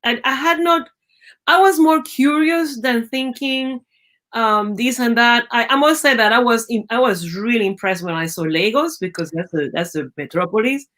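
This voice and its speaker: A middle-aged woman from Venezuela speaks English with a Venezuelan Spanish accent, a native Spanish speaker's rhythm running through her phrases. Her voice sits fairly high, and she tells her stories in a lively way, acting out the voices she quotes. She often breaks off and restarts a phrase mid-thought as a guest in a relaxed interview. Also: moderate pace. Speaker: guest, biologist. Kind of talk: conversational storytelling interview.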